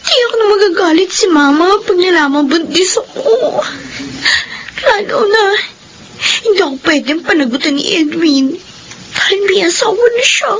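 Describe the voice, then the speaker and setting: An actress playing a distraught, guilty young pregnant daughter in a Filipino radio drama, with a Filipino accent and a whining, tearful delivery. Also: female voice, extremely high pitch, lively intonation, moderate pace, slight background noise, poor audio. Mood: tearful, anxious. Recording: radio drama with music underneath.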